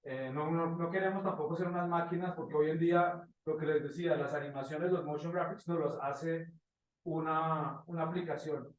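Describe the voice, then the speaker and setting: A man teaching informally to a class, pausing to search for phrases and checking in with short questions, recorded at a distance in an echoey room. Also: on the high side, fairly even intonation, dull audio. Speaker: motion graphics teacher. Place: indoor classroom.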